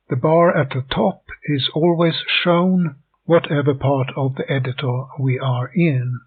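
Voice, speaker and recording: An older man talks slowly and methodically through a software tutorial, his voice gravelly and husky. The recording is dry and tinny, like a phone line. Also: fairly high pitch, no echo. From Sweden. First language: Swedish. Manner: methodical, plain step-by-step explaining. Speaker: hobby game developer.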